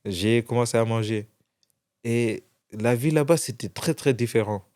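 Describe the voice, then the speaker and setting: A man's voice: mid-range voice, unhurried, darkish tone. A young man from France, a guest in an interview, telling his story casually with many restarts. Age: young adult.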